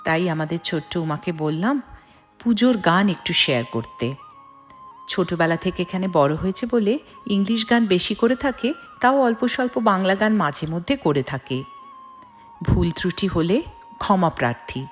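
A middle-aged woman narrates her personal vlog. She talks to viewers as friends, with soft music behind her. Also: fairly low pitch, animated, moderate pace. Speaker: vlogger. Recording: music under the voice. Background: background music.

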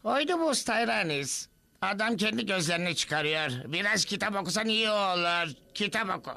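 A man's voice: sits high, expressive, normal speed, gravelly, bright, forceful tone, heavily acted. A man voicing a bossy, bookish hand puppet in a dubbed cartoon. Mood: know-it-all. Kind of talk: dubbed cartoon character acting.

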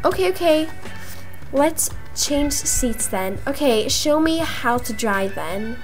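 A young woman acting out improvised roleplay dialogue for a video game, in an animated manner with drawn-out words. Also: high-pitched. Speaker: gaming video creator voicing roleplay characters. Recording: music behind the voice. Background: music.

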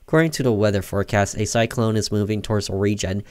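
Man reading as a low-voiced weather announcer. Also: steady tone, quick pace, darkish tone, no echo, studio quality, close to an everyday voice. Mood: formal. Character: formal news announcer giving a severe weather warning.

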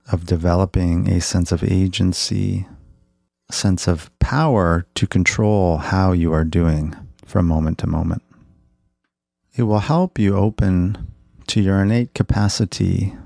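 A man leading a guided body scan meditation in a measured, gentle, instructional way. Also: low-pitched, vocal fry, no echo, clean studio sound.

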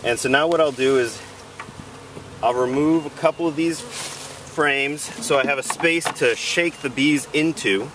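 Casual first-timer, male, a touch higher voice, outdoor camera audio from a few steps away with buzzing bees. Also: unhurried, recorded from a distance, background noise. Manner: hesitant, unsure, self-deprecating. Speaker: first-time beekeeper. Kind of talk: casual how-to narration while working. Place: outdoors in a leafy yard.

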